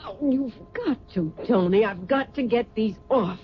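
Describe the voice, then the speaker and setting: An actress playing a vain, fretful socialite wife in a theatrical, genteel old-time radio drama style. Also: female voice, a little high, measured pace, over music, natural voice. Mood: distressed, fretful. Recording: muffled, noisy old radio recording.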